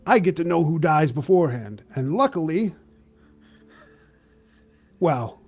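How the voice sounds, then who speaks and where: A man's voice: a little high, sing-song, unhurried, darkish tone, husky, soft background music, exaggerated voice. A man playing a jaded, sarcastic registrar at a desk in improvised tabletop roleplay, with a world-weary, condescending drawl. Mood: morbid, exasperated.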